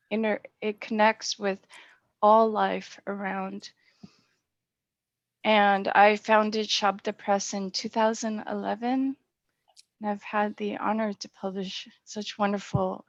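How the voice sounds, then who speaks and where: Middle-aged woman poet on a video call, unhurried, creaky voice. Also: mid-range voice, no echo. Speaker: poet and small-press publisher. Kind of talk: poetry reading and gracious talk at an online event. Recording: dull, muffled online call audio.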